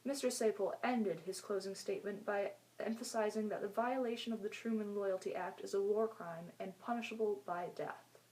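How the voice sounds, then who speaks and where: A woman's voice: mid-range voice, steady tone, moderate pace, darkish tone, poor audio. A woman anchoring a newscast, reading scripted news reports as a narrator.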